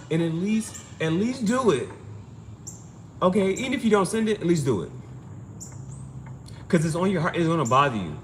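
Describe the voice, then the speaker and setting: A man giving an informal spiritual reading, urging advice in an insistent, repetitive, emphatic way. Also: sits high. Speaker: amateur tarot reader and streamer. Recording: clearly noisy background ambience.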